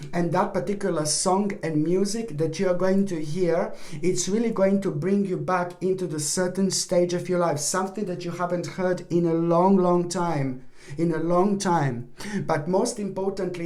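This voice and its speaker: A woman with a voice giving a rambling tarot horoscope reading, often restarting and apologizing, while losing her voice and clearing her throat. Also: on the low side, dark tone. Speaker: tarot reader.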